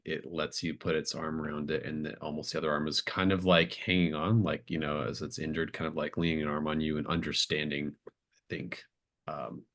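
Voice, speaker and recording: A man running a tabletop roleplaying game, narrating off the cuff in a halting way as he thinks aloud. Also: a bass voice, fairly bright tone. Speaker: game master.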